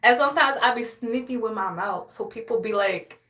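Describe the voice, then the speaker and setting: A woman's voice: a little high, a little fast, fairly bright tone. A young woman from Haiti chatting casually on a live stream, sassy, boastful and playful, often laughing. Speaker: livestreamer.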